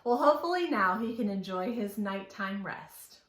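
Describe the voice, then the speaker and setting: A woman's voice: medium pitch, expressive, moderate pace. A woman leading a children's storytime, talking in an exaggerated singsong way as if to small children. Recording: echoey room.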